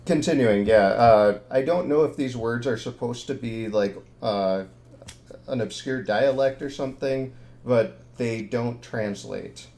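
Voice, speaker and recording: A man's voice: medium pitch, unhurried, darkish tone. A man narrating a tabletop horror game as game master, easygoing and rambling, full of ums and restarts.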